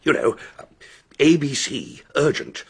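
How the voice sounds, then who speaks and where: An impulsive, charming upper-class English gentleman in a radio drama. His voice is very breathy and rough, with lively, boyish swings in pitch. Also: slow, full character voice. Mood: eager, playful.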